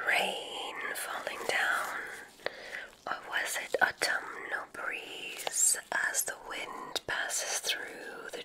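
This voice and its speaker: A woman playing a gentle witch examiner in an ASMR roleplay, whispering in a soothing, deliberate, mysterious way. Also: low-quality recording. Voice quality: breathy, unvoiced whisper.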